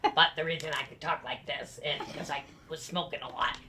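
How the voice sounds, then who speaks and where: A woman's voice: sits low, steady tone, fairly quick, crowd noise. A woman telling a casual anecdote in a rambling, conversational way at a live discussion. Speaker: community theater actor in the audience. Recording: noisy room at a live event.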